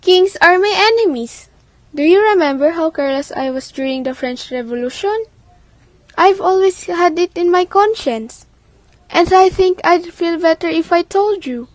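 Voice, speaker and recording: A woman's voice: extremely high pitch, expressive, moderate pace, dry recording. A woman playing Life, a proud and defiant female personification, acting dramatically and earnestly in a radio drama. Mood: defiant, confiding.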